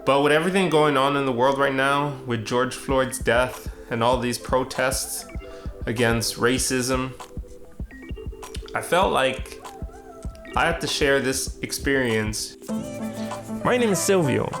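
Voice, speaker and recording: Young man with a gravelly, casual voice. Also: medium pitch, measured pace, over music. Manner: casual, conversational, acts out the dialogue. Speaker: street photographer and vlogger. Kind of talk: reflective storytelling narration.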